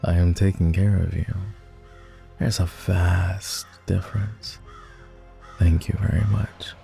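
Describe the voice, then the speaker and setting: Man playing a deep, gravelly, courtly vampire gentleman. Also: flat delivery, takes it slowly, soft background music, exaggerated voice. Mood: fond, measured. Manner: formal, courtly, gently teasing. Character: clingy, doting, refined old vampire gentleman. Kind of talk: romantic roleplay ASMR acting.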